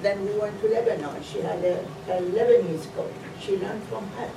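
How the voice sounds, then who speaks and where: A middle-aged woman from Iraq telling her family cooking story on a panel, slowly and with many fillers. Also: mid-range voice, steady tone, gravelly, background noise. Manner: slow, halting, many fillers. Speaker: food truck co-owner, guest. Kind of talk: panel talk, personal story. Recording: roomy, distant mic, loud ambience.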